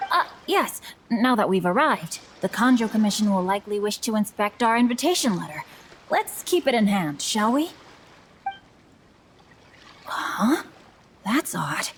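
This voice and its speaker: A woman voicing a refined, bookish young gentleman in a video game, speaking politely and eloquently. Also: medium pitch, sing-song, measured pace, long pauses, slight background noise. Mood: polite, puzzled. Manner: polite, eloquent, formal phrasing. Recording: game music behind the voice.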